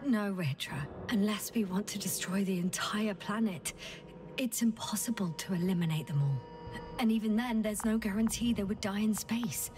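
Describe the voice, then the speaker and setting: A woman acting a measured, analytical woman scientist. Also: mid-range voice, noisy background, lightly acted. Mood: grave. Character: calm, analytical woman scientist on a space mission. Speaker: game character, doctor. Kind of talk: dramatic video game dialogue.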